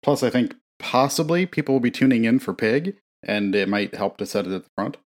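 A man's voice: medium pitch, lively intonation, fairly quick. A man co-hosting a casual movie podcast, easygoing and thoughtful, who laughs often. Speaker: podcast co-host.

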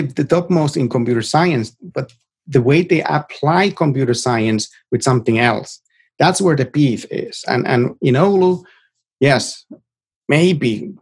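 A middle-aged man from Finland with a Finnish accent, answering informally as an interview guest in a halting, reflective way that often repeats words. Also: fairly high pitch, darkish tone, breathy edge. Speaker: interview guest, experienced tech professional.

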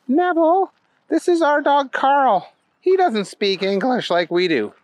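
Man as little girl, very high pitch, earnest, childlike, friendly, storybook character, swooping intonation. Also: lively intonation, measured pace, dry recording.

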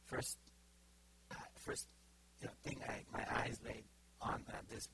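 A middle-aged Bulgarian man speaks to his church in a loose, informal sermon, his speech full of stops, fillers and restarts. He speaks English with a Bulgarian accent. The live recording carries a clear layer of background noise. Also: mid-range voice, little pitch movement, pushed and bright. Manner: halting, many fillers and restarts. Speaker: preacher.

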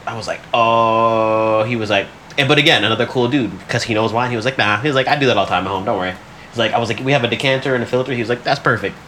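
A man's voice: mid-range voice, rapid-fire, sharp tone, a little hiss or hum. A man telling stories in casual podcast banter, slangy and profane, often acting out what people said. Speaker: podcast co-host.

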